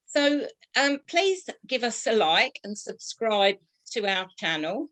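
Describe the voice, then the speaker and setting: A woman hosting a casual podcast chat as a tutor, introducing her guests in an unhurried way with frequent fillers. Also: fairly high pitch, very animated, fairly bright tone, low-quality recording. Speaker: host and tutor.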